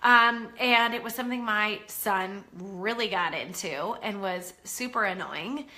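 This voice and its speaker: A woman's voice: mid-range voice, unhurried, sharp tone. A middle-aged woman with a head cold and a nasal voice, chatting as a parenting coach in a live online class, encouraging and full of ums. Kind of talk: casual live-stream talk to viewers.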